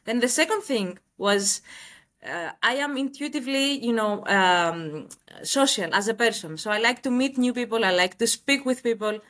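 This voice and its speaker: A woman's voice: on the high side, animated, normal speed, a bit bright. A woman in her late twenties from Greece with a Greek accent, answering conversationally as an interview guest. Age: late 20s.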